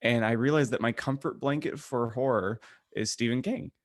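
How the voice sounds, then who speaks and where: A man's voice: mid-range voice, normal speed, dry recording, studio quality. A man co-hosting a podcast, chatting casually and informally. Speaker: podcast co-host. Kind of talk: casual chat.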